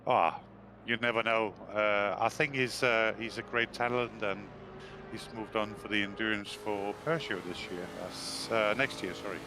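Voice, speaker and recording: A man's voice: on the low side, fairly even intonation, normal speed, noisy background. A man with a Danish accent, commenting on a sim racing stream in a hesitant way, full of fillers and restarts.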